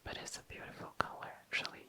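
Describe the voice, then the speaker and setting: An ASMR host whispering in a breathy, unvoiced voice, musing casually and tentatively, close to the mic. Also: fast talker, pushed and bright. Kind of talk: whispered ASMR, casual makeup swatching narration.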